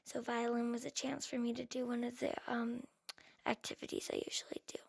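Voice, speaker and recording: A woman, a violin student, speaking softly in a documentary interview, close to a whisper. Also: on the high side, flat delivery, a little fast, creaky, husky.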